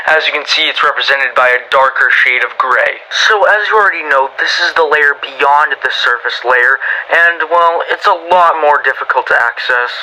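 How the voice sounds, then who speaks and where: A man performs a male workplace trainer in an amateur story audio log. His voice is mid-pitched and strikingly bright and forceful. He explains things like an informal lecturer, casual and a little hesitant in his asides. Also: rough recording. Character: casual male scientist training a new worker. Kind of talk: in-character explainer monologue, conversational lecture.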